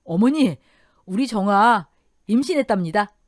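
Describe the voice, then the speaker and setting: A woman's voice: medium pitch, lively intonation, measured pace, no echo, clean studio sound. Woman playing an earnest, respectful young son-in-law. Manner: deferential, earnest.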